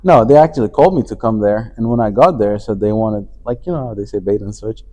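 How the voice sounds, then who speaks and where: A man's voice: fairly low pitch, fast talker, warm tone. A man from Afghanistan, a company CEO, talking as a guest in a casual interview, with many fillers and restarts. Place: conference venue.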